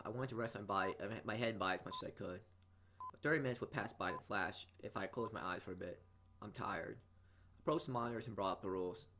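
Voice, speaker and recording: A man's voice: on the low side, fairly bright tone. A man reading a visual novel's narration aloud and casually commenting, often stumbling over words. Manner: halting reader, often stumbles and repeats words. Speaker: video game let's player. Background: game music.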